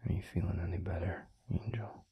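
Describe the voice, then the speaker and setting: A man playing a gentle, protective werewolf boyfriend in an intimate audio roleplay, murmuring softly and soothingly with a breathy, gravelly voice. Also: deep voice, fairly even intonation, in a whisper, lo-fi sound. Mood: tender, soothing. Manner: slow, soothing, unhurried. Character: gentle, protective adult male werewolf boyfriend, pack leader.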